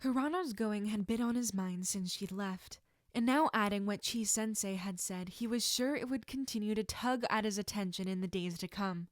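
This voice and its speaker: Woman reading a story out loud, expressive, mid pitch, very dry recording. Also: normal speed, no echo. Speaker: fan fiction narrator. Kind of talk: scripted story narration.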